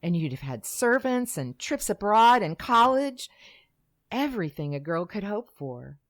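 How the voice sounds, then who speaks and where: A woman playing a chatty, matter-of-fact mother in expressive old-time radio drama style. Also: mid-range voice, unhurried. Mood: chatty, sympathetic. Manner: expressive, exclamatory.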